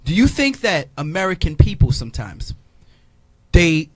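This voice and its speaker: A man's voice: a little high, big pitch swings, moderate pace, dry recording, rough recording. A man hosting a casual radio interview, who repeats his words and urges listeners on in slangy talk. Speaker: radio show host.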